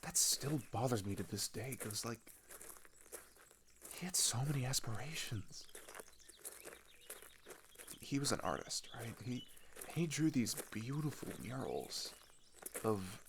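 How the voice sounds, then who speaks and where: A young man playing a scared, grieving older teenage boy in an intimate audio roleplay, talking in a halting, casual, rambling way. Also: mid-range voice, sing-song, vocal fry, background noise, full character voice. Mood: wistful grief. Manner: halting, rambling, casual youthful speech with sighs.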